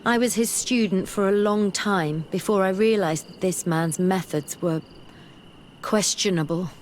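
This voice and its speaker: An actress playing an earnest, bookish young female scholar in a video game, speaking in a measured, articulate way. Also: medium pitch, darkish tone, slight background noise, close to an everyday voice. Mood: troubled, ashamed. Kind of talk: video game dialogue acting. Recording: music behind the voice.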